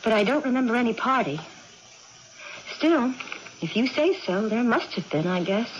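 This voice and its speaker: Actress playing moody young woman, highish animated voice, childlike insistence, emphatic radio-drama delivery, muffled vintage broadcast. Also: moderate pace, lightly acted. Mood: guarded, uncertain. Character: excitable young woman with amnesia and swinging moods. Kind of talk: 1980s radio drama acting. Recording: dull, noisy old radio broadcast.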